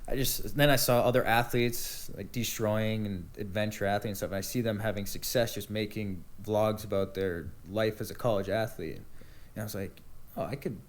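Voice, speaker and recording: Young male podcast guest, fairly low voice, rambling and casual, swears freely. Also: fairly even intonation, normal speed. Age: young adult. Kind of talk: casual chat.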